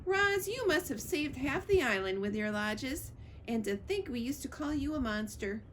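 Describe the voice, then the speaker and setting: A woman's voice: high-pitched, animated, moderate pace, slight background noise, pitched above the performer's own voice. A woman voicing a folksy, repentant old digging animal in a storybook, speaking emphatically. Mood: grateful, emphatic. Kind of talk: storybook character voice.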